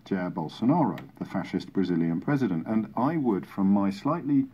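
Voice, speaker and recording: Man, talk radio host, mellow dark tone, husky, emphatic, opinionated, played through a radio speaker. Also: lowish voice, expressive, moderate pace, lo-fi sound. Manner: emphatic, rhetorical repetition. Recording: radio speaker filmed in a room.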